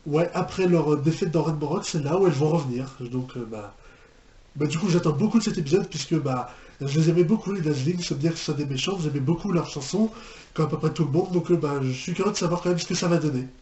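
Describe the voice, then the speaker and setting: A man's voice: on the high side, quick pace, warm tone. A man giving a casual, hesitant reaction commentary, rambling and repeating his phrases. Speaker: reaction video host. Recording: echoey room with background noise.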